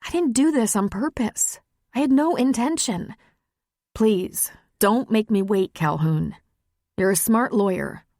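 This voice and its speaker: Narrator as an emotional woman, pitched up, candid. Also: a little slow, a little mellow, dry recording, studio quality, natural voice. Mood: urgent, pleading. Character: emotional, candid adult woman lawyer in love.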